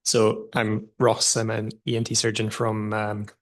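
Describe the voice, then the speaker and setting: Scottish man, flat low voice, far off. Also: measured pace. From Scotland. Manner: brief and modest. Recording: voice from across a room.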